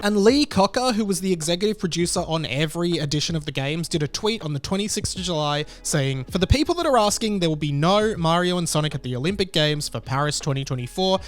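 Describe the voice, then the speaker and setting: A man's voice: on the high side, animated, fast, few pauses, soft background music. A man hosting a video, giving informal, opinionated commentary straight to his viewers. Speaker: video host.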